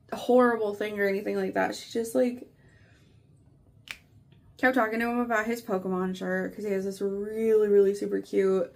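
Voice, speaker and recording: Unhurried, creaky-voiced woman chatting casually. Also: medium pitch, fairly bright tone. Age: 30s. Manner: chatty, meandering, frequent "like" and "you know". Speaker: craft vlogger. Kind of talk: casual rambling storytelling chat.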